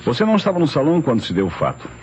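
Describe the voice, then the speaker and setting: A man with a Brazilian accent playing a brisk, methodical police inspector in an old radio drama, questioning formally, on a muffled, noisy recording. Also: mid-range voice, huge pitch swings, darkish tone. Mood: probing.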